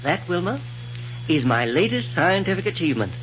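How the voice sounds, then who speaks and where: Musing scientist on noisy old radio. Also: male voice, high-pitched, very animated, normal speed. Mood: proud, explaining. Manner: hesitant, musing, professorial delivery with technical jargon. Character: kindly, eccentric scientist doctor proud of his invention. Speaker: radio actor. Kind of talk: 1930s radio serial acting. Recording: noisy muffled vintage radio recording.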